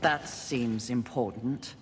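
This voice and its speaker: A woman's voice: rumbling low, slow, a little hiss or hum. A woman playing a fierce, sardonic apocalyptic warrior in a video game, with a cutting, defiant manner. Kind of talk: video game cutscene acting. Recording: echoing voice over game music.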